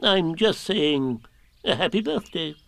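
A man's voice: a little high, animated, slow, gravelly, a bit bright, pitched above the performer's own voice, full character voice. A man voicing a pompous old male owl who shows off what he knows, in a storybook read-aloud. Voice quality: fussy, self-important.

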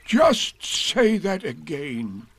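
A man voicing a gloomy, resigned donkey from a children's storybook, with a ponderous, doleful, deliberate delivery. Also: on the high side, very animated, gravelly, a bit bright, slightly rough, pitched above the performer's own voice, full character voice.

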